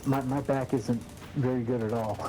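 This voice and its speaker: A man's voice: mid-range voice, steady tone, normal speed. A man in his fifties chatting casually with a fellow player, rambling, stammering and repeating words, with crude jokes. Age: 50s. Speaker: gamer in voice chat. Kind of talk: casual voice chat while gaming. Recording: loud gunfire and game noise behind. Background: loud game gunfire and explosions.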